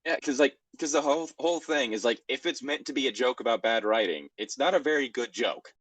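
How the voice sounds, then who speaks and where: A man's voice: medium pitch, expressive, fairly quick, fairly bright tone, no echo. A man co-hosting a show in casual chat, rambling wryly as he reacts to a story. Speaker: co-host of a fan fiction reading show.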